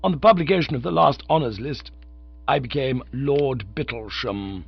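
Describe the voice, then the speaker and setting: A man's voice: mid-range voice, normal speed, creaky, husky, pitched above the performer's own voice. A man voicing a pompous, portly elderly lord who speaks in stately, formal, wordy phrases. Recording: dull lo-fi dry recording.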